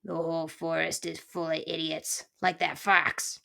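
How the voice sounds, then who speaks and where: Storybook old porcupine, male, played by a woman: low, creaky, cantankerous, scornful, slangy and quick to insult. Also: level tone, sharp tone, heavily acted. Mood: grumpy, scornful. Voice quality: growling, gravelly. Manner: barking colorful insults, folksy slangy speech.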